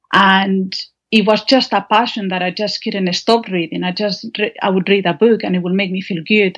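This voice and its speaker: Spanish-accented woman, dull lo-fi line. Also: mid-range voice. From Spain. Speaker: guest author. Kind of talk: personal storytelling in an interview. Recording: dull, band-limited lo-fi sound.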